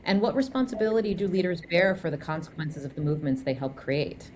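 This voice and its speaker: Una conduttrice di podcast legge un'introduzione scritta con tono serio e misurato. La voce è piuttosto bassa per una donna. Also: female voice, lively intonation, fast talker, darkish tone, a little hiss or hum. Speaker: podcast host. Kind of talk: scripted narration.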